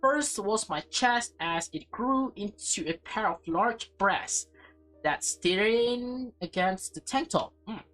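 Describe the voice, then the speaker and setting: A woman reading a visual novel aloud as a let's play host, expressive and breaking into casual, offhand asides. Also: medium pitch, slow pace, faint music behind, low-quality recording.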